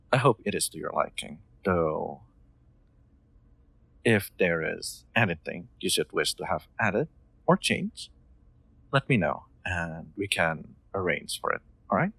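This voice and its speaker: A man playing a gentle, solicitous nobleman in an intimate roleplay audio drama, speaking in formal, courteous, slightly hesitant phrases. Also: low voice, vocal fry, husky, no room sound, full character voice. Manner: formal, old-fashioned courteous phrasing, hesitant, soft chuckles. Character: gentle, solicitous nobleman, new master of an automaton.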